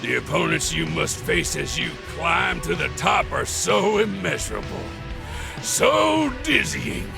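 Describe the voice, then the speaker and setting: A man's voice: fairly high pitch, big pitch swings, a little slow, creaky, music in the background, heavily acted. An actor voicing a cackling, battle-crazed demon racer in a video game, taunting and theatrical as he draws out his words. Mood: theatrical, amused.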